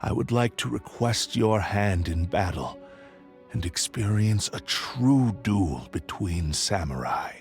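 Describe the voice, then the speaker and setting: A man voicing a remorseful, ancient cursed sword spirit in a video game, speaking in a grave, formal, measured way. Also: lowish voice, expressive, faint music behind. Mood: solemn.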